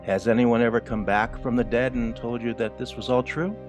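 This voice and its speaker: A man's voice: medium pitch, fairly even intonation, fairly quick, soft background music, lightly acted. A man playing a skeptical, no-nonsense mentor mouse in an audio drama. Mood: skeptical, exasperated.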